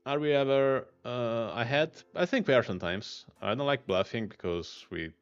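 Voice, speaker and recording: A man's voice: mid-range voice, moderate pace, clear, resonant tone, dry recording, highs cut off, soft background music. Italian man thinking aloud over poker hands. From Italy. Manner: thinking aloud, mutters hand names, bursts of excitement. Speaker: poker streamer. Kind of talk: live poker commentary.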